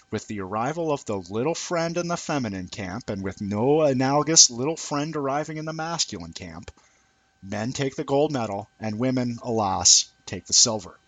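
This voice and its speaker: A man reading a scripted essay aloud in a deliberate, formal way with emphatic phrasing. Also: mid-range voice, a bit bright, no echo. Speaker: amateur reader of a written essay.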